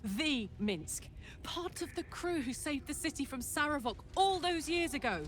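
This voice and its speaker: A brash, streetwise female companion in a video game. Her voice is fairly high and very animated, with blunt, colloquial phrasing over a noisy ambient background. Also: measured pace. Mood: amazed.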